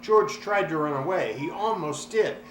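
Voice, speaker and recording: A man reading a children's storybook aloud with expressive, dramatic delivery and drawn-out words. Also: on the high side. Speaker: story reader. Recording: roomy echo, clear background noise.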